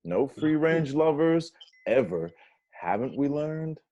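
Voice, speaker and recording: A man's voice: fairly high pitch, very animated, slow pace, warm tone, muffled recording. An actor playing a dramatic, outspoken young male housemate in a comedy table read. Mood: emphatic. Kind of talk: comedy script read aloud. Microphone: video call.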